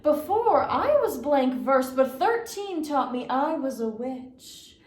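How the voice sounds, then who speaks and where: A young woman performing a dramatic poetry recitation, with theatrical, deliberate phrasing and emphatic pauses. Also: high-pitched, big pitch swings. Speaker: competitive speech performer. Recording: roomy, echoing sound.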